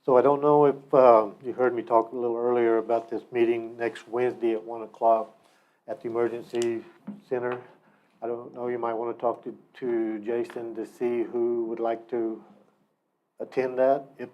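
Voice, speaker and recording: A man, a county commissioner, talking at a public meeting in a halting, rambling way that repeats and restarts itself. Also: mid-range voice, level tone, dull audio, slight background noise. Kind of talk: casual remarks at a public meeting.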